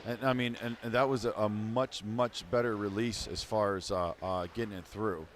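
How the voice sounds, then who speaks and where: A man's voice: fairly low pitch, fairly even intonation, moderate pace. Man, rinkside color commentator, easygoing rambling analysis, faint arena hum behind. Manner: rambling, restarts, laid-back analysis. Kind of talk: casual game commentary. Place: rink stands.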